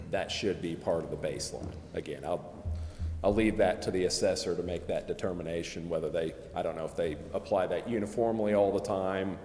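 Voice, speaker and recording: A man with a very low voice explains things in a measured, careful way at a public meeting. He restates and qualifies his points as he goes. His words ring with long reverb in an echoey chamber. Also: darkish tone, slight background noise. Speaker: presenter explaining tax district finances at a council meeting. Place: council chamber.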